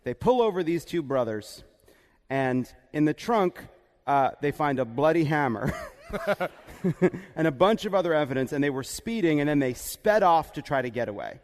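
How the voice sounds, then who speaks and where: Male comic podcast host, slightly high voice, joking storyteller, echoey live hall. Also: sing-song, moderate pace. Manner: joking, laughs as he talks. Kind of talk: casual comic storytelling about politics.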